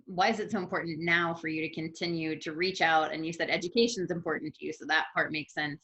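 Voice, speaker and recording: A woman with a very low, deep voice hosts an interview. She asks friendly questions that run on in several parts. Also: steady tone, fast talker, bright, forceful tone, slight background noise. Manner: friendly, long multi-part questions. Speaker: interview host.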